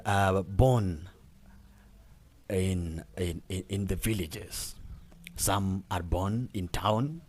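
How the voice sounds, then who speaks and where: A young Kenyan man hosting a casual podcast, talking with many fillers and repeated words and laughing often. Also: low-pitched. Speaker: podcast host.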